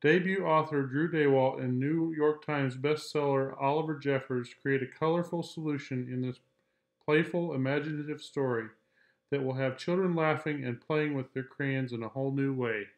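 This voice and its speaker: A man reading a children's picture book aloud in a measured, deliberate storytelling style. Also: medium pitch, steady tone.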